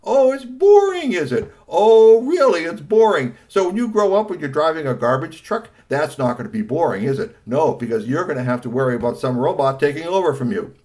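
An excitable, boyish scientist dad from a children's story, played by a man. The voice jumps high and swings widely, gushing and repeating words with great emphasis. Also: fairly quick, few pauses. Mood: indignant, sarcastic. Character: excitable, boyish scientist father, quick to scold.

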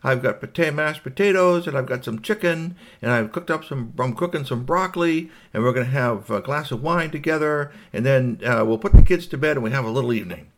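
Man voicing a busy mother, pitched up. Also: brisk, hardly any pauses, lightly acted. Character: busy suburban mother rousing her husband for dinner.

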